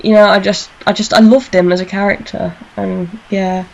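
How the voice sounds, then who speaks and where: A woman hosts a casual group discussion. Her voice sits at an ordinary pitch for a woman. She talks in a chatty, jokey way, as if among friends. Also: flat delivery, moderate pace, vocal fry, dull audio. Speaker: host of a fan discussion show.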